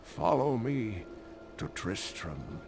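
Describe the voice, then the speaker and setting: An actor playing an elderly, earnest scholar in a fantasy game, creaky-voiced and deliberate, like a solemn storyteller. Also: male voice, fairly low pitch, very animated, music in the background, heavily acted. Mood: relieved, grateful. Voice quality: creaky, aged. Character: elderly male scholar, kindly, earnest, prophecy-obsessed. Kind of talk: theatrical fantasy game dialogue.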